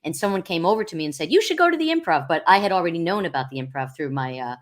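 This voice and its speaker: A middle-aged woman from Long Island with a deep voice, chatting as a podcast guest and rambling with many fillers and restarts. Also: very animated, very fast. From Long Island, New York. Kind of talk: casual interview chat.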